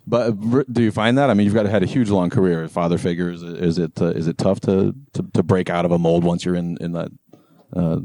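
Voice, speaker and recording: A man's voice: deep voice, steady tone, brisk, dark tone, no room sound, clean studio sound. A man hosting a podcast, asking rambling, hesitant questions full of fillers. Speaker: podcast host. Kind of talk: casual interview questions.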